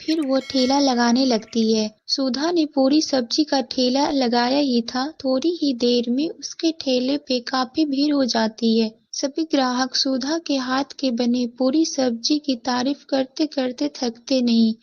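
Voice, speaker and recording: A woman's voice: high voice, a little slow, few pauses, a little mellow, highs cut off. A woman reading a scripted story as its narrator.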